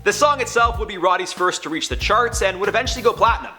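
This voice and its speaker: A man hosting and narrating a scripted biography video in a brisk, upbeat storytelling manner. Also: high voice, sharp tone, faint music behind. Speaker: host.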